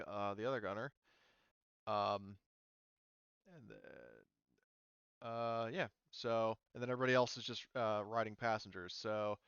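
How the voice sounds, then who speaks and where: A man's voice: lowish voice, fairly bright tone, clean tone, no echo, highs cut off. A man narrating a tabletop roleplaying game as game master in a casual, informal way, thinking aloud as he goes.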